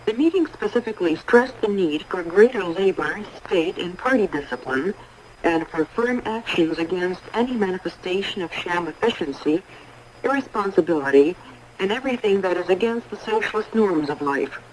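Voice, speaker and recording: Low-voiced woman newsreader on noisy shortwave. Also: expressive. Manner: measured, formal delivery. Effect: shortwave radio. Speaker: radio news announcer. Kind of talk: formal scripted 1980s international radio newsreading. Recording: noisy 1980s shortwave radio broadcast.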